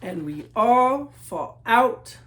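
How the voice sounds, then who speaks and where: A man from New Mexico reading his children's book aloud in measured rhythm, as a storyteller talking to young listeners. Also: extremely high pitch, very animated, a bit bright. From New Mexico, United States.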